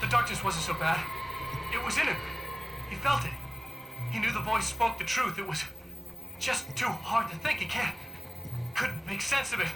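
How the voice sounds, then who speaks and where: A man's voice: sits high, expressive, moderate pace, pauses often. An actor playing a haunted male writer, narrating a game in a tense, brooding manner. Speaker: narrator and lead character. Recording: echoey game audio under loud music.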